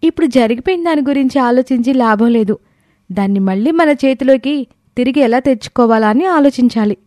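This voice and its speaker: A woman's voice: high-pitched, expressive, brisk, a little mellow, dry recording, studio quality. A woman playing a composed, earnest young woman in a family story. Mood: worried. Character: composed young wife and daughter in a family tale. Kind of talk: acted story dialogue.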